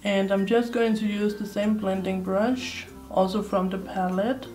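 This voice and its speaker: A young woman with a slightly hoarse voice, chatting casually through a makeup tutorial at home. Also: mid-range voice, steady tone, unhurried, darkish tone, faint music behind. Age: young adult. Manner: chatty, thinking aloud while applying makeup. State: slightly hoarse, sore throat. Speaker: beauty vlogger. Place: indoor room at home. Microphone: close camera facing her.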